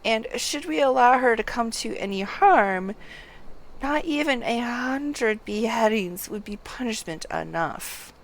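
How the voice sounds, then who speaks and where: A woman's voice: a little high, animated, unhurried, low-quality recording. A woman reading aloud the formal, grave lines of an earnest male quest-giver in a fantasy game. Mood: grave, urgent.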